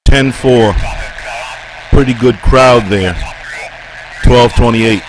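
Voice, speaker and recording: Amid clearly noisy radio sound comes a man acting out a 1960s patrol officer. He is businesslike and clipped, passing on procedural messages in a mid-pitched voice, as in a radio drama. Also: takes it slowly, natural voice. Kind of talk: reading of a police radio transcript. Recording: noisy police radio sound, vehicle ambience.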